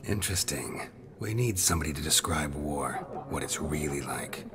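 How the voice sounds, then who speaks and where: An actor playing a world-weary, terse monster hunter in a video game, with a gravelly, hushed growl and a dry deadpan delivery. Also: male voice, very deep voice, moderate pace, background noise. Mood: dry, guarded. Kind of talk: video game character dialogue. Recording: crowd chatter behind the voice.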